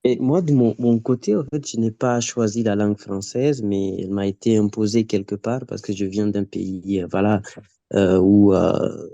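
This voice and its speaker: A writer and playwright from Guinea, a native Pular speaker, speaking on a live panel in a hesitant way, with many repeated words and restarts. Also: male voice, fairly low pitch, fairly even intonation, normal speed, gravelly, dark tone, dry recording, lo-fi sound. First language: Pular (Fula).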